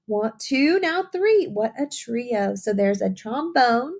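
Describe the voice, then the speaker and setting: Animated woman reading aloud to young children. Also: a little high. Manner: enthusiastic, encouraging; expressive, drawn-out reading with simple questions to listeners. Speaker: teacher reading a picture book aloud. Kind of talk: read-aloud lesson addressed to young children.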